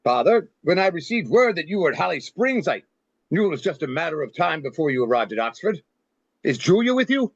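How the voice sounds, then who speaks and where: A man acts out a stern American Civil War general with a slightly high voice. He is formal, clipped and commanding, as in a stage play reading. The sound is dull and very dry. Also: big pitch swings, a little fast, no room sound. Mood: reserved. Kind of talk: amateur play table reading. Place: online video-call reading.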